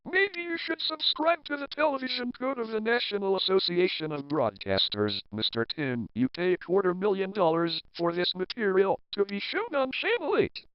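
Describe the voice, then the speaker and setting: A woman's voice: mid-range voice, very animated, moderate pace, hardly any pauses, smooth, no echo, lightly acted. A woman playing a bossy, easily exasperated control-room boss in an amateur drama, scolding emphatically and repeating herself. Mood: sarcastic scolding. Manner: emphatic, repetitive. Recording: dull, dry lo-fi audio.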